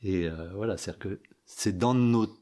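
A man's voice: lowish voice, moderate pace, dark tone. A man sharing reflections in a group discussion, talking hesitantly with many restarts and fillers. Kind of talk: casual reflective talk.

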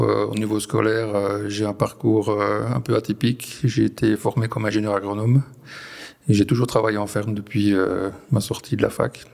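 Gravelly Belgian farmer, halting speech. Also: male voice, fairly low pitch, a little mellow. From Belgian Ardennes. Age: 40s. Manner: hesitant, many restarts and fillers. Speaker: farmer guest. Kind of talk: conversational interview. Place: on a farm.

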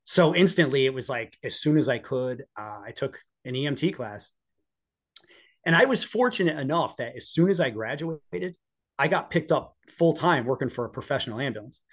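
A man's voice: mid-range voice, animated, moderate pace, husky. A man in his forties with an American accent, telling personal stories conversationally as an interview guest. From northeastern United States. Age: 40s. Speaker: podcast guest, police officer and former EMT.

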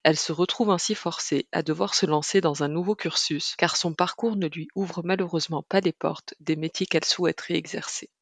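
A woman's voice: on the low side, fairly even intonation, a little fast, few pauses, no echo. A woman hosts a podcast, reading from a prepared script. The sound is close and dry, with very little room around the voice. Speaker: podcast host. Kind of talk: scripted narration.

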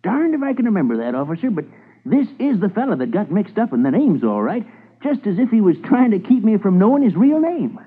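High, animated folksy shopkeeper. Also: male voice, slightly rough, heavily acted. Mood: recalling, emphatic. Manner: folksy, halting, thinking aloud. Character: male shopkeeper key cutter, folksy, hesitant, eager to help. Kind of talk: 1950s radio drama acting. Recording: tinny narrow-band old radio recording.

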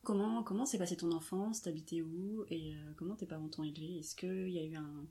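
A woman with a somewhat low voice leads a casual interview. She asks open questions, prompts her guest and often finishes the other's sentences for her. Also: fairly even intonation, brisk, warm tone.

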